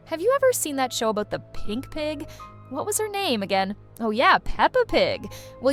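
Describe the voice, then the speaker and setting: A woman's voice: fairly high pitch, a bit bright. A woman hosting a video with casual, playful, exaggerated commentary for young viewers. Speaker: host of a reaction video channel.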